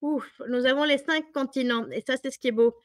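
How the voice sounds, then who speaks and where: A woman's voice: sits high, fairly even intonation, a little fast, no echo, clean studio sound. A woman from Mexico with a Mexican Spanish accent, explaining her work as an interview guest. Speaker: interviewed biotech industry professional.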